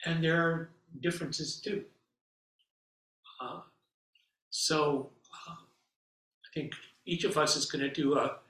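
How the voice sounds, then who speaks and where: A man speaking as an abbot, opening a class with relaxed, hesitant remarks full of pauses. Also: a little high. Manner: relaxed, with many fillers and pauses. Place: meditation hall. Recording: echoey hall, mic far off.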